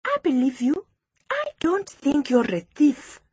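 A woman's voice: sits high, big pitch swings, unhurried, a little mellow, no echo, voice pushed up. A woman voicing a fair-minded, firm young schoolgirl in a children's story, earnest and questioning. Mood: kind, earnest.

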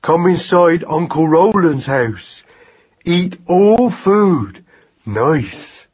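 A man voicing a greedy, simple-minded young boy mouse who talks in halting baby talk. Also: a little high, expressive, a little mellow, husky, highs cut off, pitched above the performer's own voice, full character voice. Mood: eager, hungry.

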